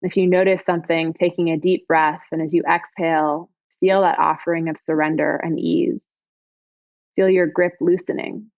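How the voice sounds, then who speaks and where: A woman yoga teacher leads a guided body scan meditation in a soothing, gentle way, with unhurried phrases and pauses. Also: sits low, dry recording, muffled recording.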